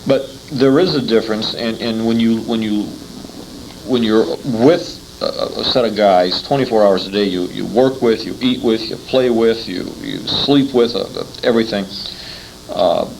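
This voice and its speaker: A middle-aged American man talks casually in an interview, his voice fairly low and gravelly. He speaks haltingly, repeating words while he works out a thought. There is light noise on the amateur recording. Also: a little mellow. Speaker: interviewer, Air Force veteran. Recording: slightly noisy amateur recording with room ambience.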